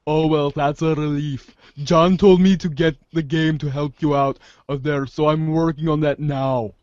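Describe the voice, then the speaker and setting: A man as a rambling higher-voiced slacker boy. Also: fairly even intonation, unhurried, darkish tone, no room sound, lo-fi sound, natural voice. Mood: casual, uneasy. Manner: casual, rambling, sweary. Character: rambling, too-cool slacker teenage boy, uneasy underneath. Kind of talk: read-along fan theater.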